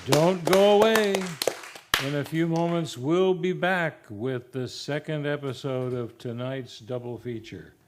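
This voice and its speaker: A man's voice: fairly high pitch, a little slow, slight background noise. A man announcing in a scripted radio promo with a theatrical, exaggerated delivery.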